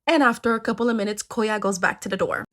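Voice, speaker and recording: A woman's voice: mid-range voice, fast, fairly bright tone. A woman telling folktales in a casual, chatty way, tossing in joking asides to listeners. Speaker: storyteller.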